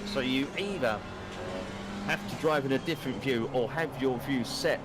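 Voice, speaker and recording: A Cockney man in his fifties from East London, commentating a sim race live in a chatty, excitable way, swearing casually. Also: medium pitch, normal speed. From East London, England. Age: 50s. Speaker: race broadcast commentator and streamer.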